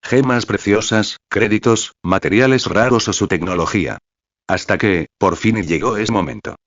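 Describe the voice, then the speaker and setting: A man narrating a fan novel in a steady, even audiobook style. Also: on the low side, normal speed, dry recording, lo-fi sound.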